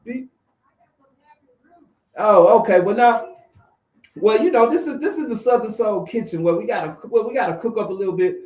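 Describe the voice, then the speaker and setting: A man hosting a radio show, chatting casually with a guest in a brisk, bantering way. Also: high voice, animated, a little mellow. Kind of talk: casual interview and show patter. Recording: roomy studio sound.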